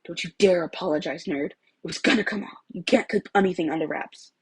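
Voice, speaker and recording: Hot-headed, defiant teen boy for a storybook, husky and harsh female reader acting him. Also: fairly low pitch, brisk, darkish tone, no echo. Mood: gruff, defiant. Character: surly, stubborn, hot-tempered teenage boy.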